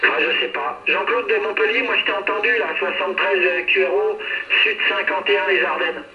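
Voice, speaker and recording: A man's voice: high-pitched, moderate pace. A man talking casually and hesitantly over two-way radio, in a chatty, friendly way. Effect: two-way radio transmission. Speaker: amateur radio operator. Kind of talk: casual radio contact chat. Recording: roomy, distant, dull noisy radio transmission.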